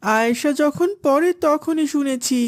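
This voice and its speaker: A woman's voice: high-pitched, measured pace. A woman voicing a meek, earnest young boy in a children's cartoon, with a plaintive, innocent manner. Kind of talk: Islamic children's cartoon dubbing.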